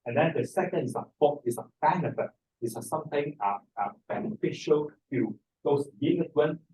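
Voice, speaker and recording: A middle-aged or older man with a Hong Kong accent, speaking haltingly and repeating words as he presents an informal seminar. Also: a little high, expressive, dark tone. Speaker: feng shui master, seminar speaker. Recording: distant microphone in a room.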